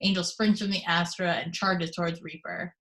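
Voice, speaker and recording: A woman reading the action descriptions aloud in steady, measured narration for an amateur table read over a video call. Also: on the low side. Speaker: reader of stage directions.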